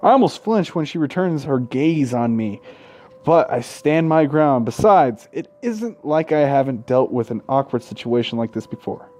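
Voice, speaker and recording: A man's voice: mid-range voice, animated, normal speed, a little mellow. A man hosting a gaming video, reading visual novel text aloud and adding casual, irreverent commentary. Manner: irreverent, sweary heckling between read passages.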